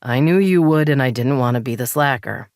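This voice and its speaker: A woman's voice: very deep voice, brisk, darkish tone, dry recording, clean studio sound. A woman voicing a nervous, defensive husband who sounds sulky and wounded.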